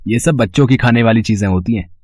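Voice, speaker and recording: A playful grown son from a moral tale, acted by a man, speaks casually and fondly in a medium-pitched male voice. He has a teasing streak, and the sound is close and dry. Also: fast, darkish tone, no echo, natural voice. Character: teasing, affectionate grown son of elderly mother.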